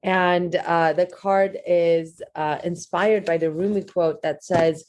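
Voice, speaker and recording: A woman's voice: on the low side, steady tone, slow pace, a little mellow. A woman guest on a podcast, talking casually about her work with frequent fillers and restarts. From Iran. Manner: hesitant, many fillers and restarts. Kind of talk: casual interview talk.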